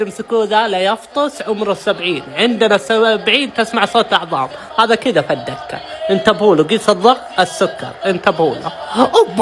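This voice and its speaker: A man reacts to football at a strained, high pitch. His voice is bright and it leaps all over the place. He shrieks, chants and laughs between his outbursts. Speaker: football fan reacting to a match. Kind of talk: live match reaction.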